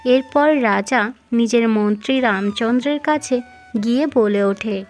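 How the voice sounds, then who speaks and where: A woman's voice: on the high side, unhurried, darkish tone. A woman narrating a scripted fairy-tale cartoon as storyteller. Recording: music under the voice.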